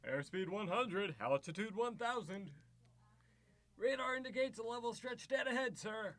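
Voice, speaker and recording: A man voices a nervous young navy lieutenant aboard a rocket ship in an old-style radio drama. His high, bright voice rattles off brisk readings. The recording is close and dry. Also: no echo. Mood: dutiful. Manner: brisk crisp reports, edgy.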